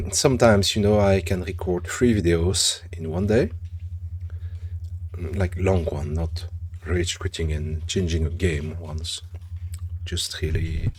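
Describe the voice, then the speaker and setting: A man's voice: sits low, darkish tone, breathy edge. A man with a French accent talking through a horror game in a casual, rambling way, often correcting himself as he goes. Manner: rambling, chatty, frequent self-corrections. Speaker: gaming channel host. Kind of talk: casual gameplay commentary.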